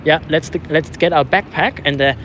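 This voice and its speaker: Higher-pitched Swiss man chatting outdoors. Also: moderate pace. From Switzerland. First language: not English, learned English after moving to England. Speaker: vlogger, protester. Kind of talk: casual chat. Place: outdoors on a city street at a protest. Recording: outdoor street noise with traffic.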